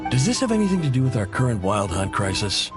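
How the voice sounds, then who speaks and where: An actor playing an easygoing, self-effacing machinist and family man in video game dialogue, expressive and wry. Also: medium pitch, fast, lightly acted. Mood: thoughtful. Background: music.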